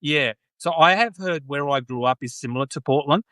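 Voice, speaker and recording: A man's voice: a little high, fairly quick, a bit bright, no room sound. Australian man chatting on a dry close mic. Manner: conversational, frequent restarts and agreeing. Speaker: podcast host. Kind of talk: casual political chat.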